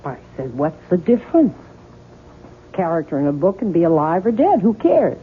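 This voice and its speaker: A woman's voice: fairly low pitch, big pitch swings, moderate pace. An actress playing a plain-spoken, nosy older American mother-in-law in a radio drama, chatty, blunt and insistent. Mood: suspicious, fussing. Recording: muffled, noisy 1970s radio broadcast.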